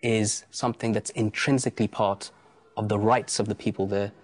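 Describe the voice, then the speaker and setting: A man's voice: fairly low pitch, fairly even intonation, moderate pace. A man in his thirties from England, answering interview questions earnestly and insistently, often restarting his phrases.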